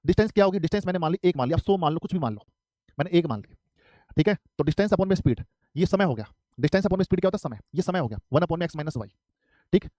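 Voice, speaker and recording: Fast-talking male math tutor, dry sound. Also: on the high side, lively intonation, mellow, no room sound. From Haryana, India. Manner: repetitive, asks and answers his own questions. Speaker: math teacher. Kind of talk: live online exam-prep math class.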